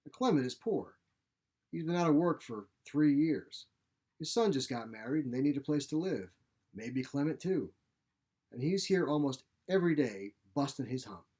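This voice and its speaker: A man voicing a feisty, sharp-tongued elderly mother in a short story, blunt, folksy and grumbling. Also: a little high, expressive, normal speed. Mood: frank, scornful. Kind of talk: short story character reading.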